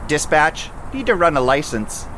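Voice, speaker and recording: Male actor as traffic cop: clipped, formal, lively mid pitch, clear outdoor noise behind. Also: normal speed. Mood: businesslike. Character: polite, businesslike male police officer at a traffic stop. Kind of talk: audio drama acting.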